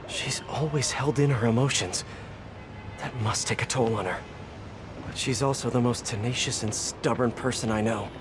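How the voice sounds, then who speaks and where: An actor playing an earnest, wisecracking young superhero in a video game, with an American accent and a chatty, wry manner. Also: male voice, medium pitch, noisy background, lightly acted. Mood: troubled, reflective. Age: young adult.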